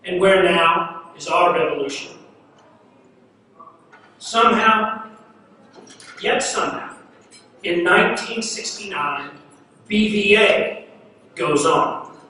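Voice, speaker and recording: A man's voice rings out from far off in a very echoey hall, with a long reverb tail. He recites poetry like an incantation, pitched high, repeating phrases insistently and setting them apart with emphatic pauses. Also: sharp tone. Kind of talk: dramatic spoken-word poetry recitation. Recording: very echoey hall, distant mic, faint noise.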